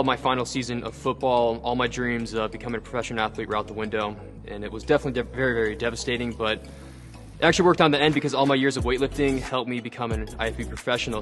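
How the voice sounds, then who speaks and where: A man's voice: mid-range voice, a bit bright. A man, telling his own story in a casual interview and often saying "like" and "you know.". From Seattle, Washington. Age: 20s. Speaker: bodybuilder and actor. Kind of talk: personal interview monologue. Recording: light music behind.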